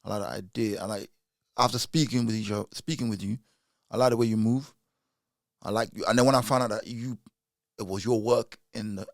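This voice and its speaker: Gravelly-voiced podcast host. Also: male voice, fairly low pitch, fairly even intonation, brisk, no echo, studio quality. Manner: laid-back, encouraging interviewer. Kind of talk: casual podcast interview.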